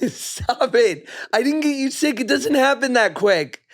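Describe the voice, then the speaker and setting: A man in his thirties hosting a podcast in casual chat, slightly hoarse, playful and teasing, laughing often and telling animated stories. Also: very high-pitched, unhurried. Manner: tells anecdotes in an animated way. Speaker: podcast host.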